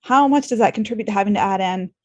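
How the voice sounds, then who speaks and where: Lady podcast host chatting casually, medium pitch, encouraging and kinda reflective. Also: fast, a little mellow, muffled recording. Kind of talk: casual interview conversation.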